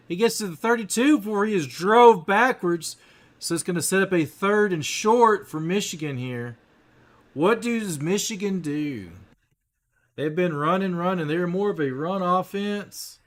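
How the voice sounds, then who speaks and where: A man hosting a casual live play-by-play stream, his voice rough, clearing his throat, talking straight to viewers and rising on big plays. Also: high-pitched. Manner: chatty, talks straight to viewers, rises excitedly on big plays. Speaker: livestream play-by-play host.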